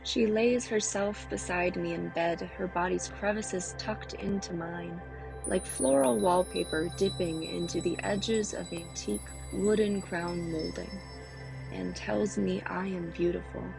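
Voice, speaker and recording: Female poetry reader, intimate and earnest, noticeable vocal fry, music underneath. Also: fairly low pitch, steady tone, unhurried, rough recording. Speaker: monologue performer. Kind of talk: scripted poetic monologue reading.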